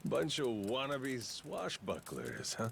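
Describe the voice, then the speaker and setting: An American male actor playing a gruff, blunt but honorable yakuza with no memory, talking in rough slang in a video game cutscene. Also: mid-range voice, sing-song, slow pace, a bit bright, a little hiss or hum, exaggerated voice. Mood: wry, puzzled. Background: game music.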